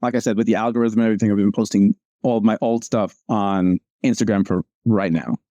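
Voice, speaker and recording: A man talking casually about his comic strips, rambling and restarting his sentences with many fillers. His voice is mellow and relaxed, and the sound is dry and close. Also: lowish voice, brisk, no echo, highs cut off. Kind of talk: casual chat over a video call.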